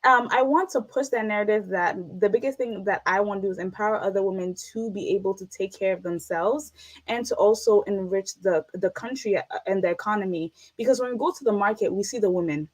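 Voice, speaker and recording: A woman speaks as an interview guest in a middle pitch. She is earnest and reflective, and repeats key phrases to drive them home. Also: fairly quick, rough recording. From United States. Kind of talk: casual interview conversation.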